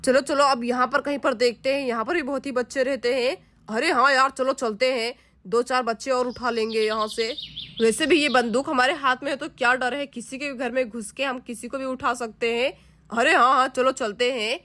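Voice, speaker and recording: एक महिला एक बंदूकधारी पुरुष डाकू की आवाज़ निकालती है, भारी और धमकी भरे, चिढ़ाने वाले अंदाज़ में।. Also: female voice, high-pitched, steady tone, moderate pace, fairly bright tone, dry recording, voice pushed down. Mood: menacing. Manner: gruff, threatening, mocking. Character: menacing male dacoit kidnapper with a gun.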